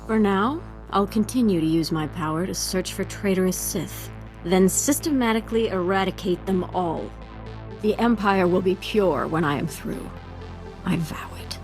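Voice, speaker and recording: A woman's voice: medium pitch, normal speed, darkish tone, close to an everyday voice, low-quality recording. A fervent Sith apprentice, acted by a woman in a video game. She is vengeful and ruthless, with a mid-range female voice over music. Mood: vengeful satisfaction. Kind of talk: dramatic video game acting.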